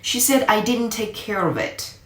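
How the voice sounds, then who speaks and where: Woman voicing an excitable young boy, pitched up. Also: moderate pace. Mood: sheepish. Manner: animated, exclaiming. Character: eager young boy, excitable, a little sheepish. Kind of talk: storybook character voice. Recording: echoey room.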